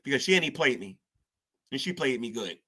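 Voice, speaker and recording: Bright-voiced man in rambling, preachy talk. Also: mid-range voice, big pitch swings, normal speed, slightly rough. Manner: rambling, colloquial with "ain't", many restarts; preachy, talks of gospel and spiritual war. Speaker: online commentator. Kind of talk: casual self-reflective commentary.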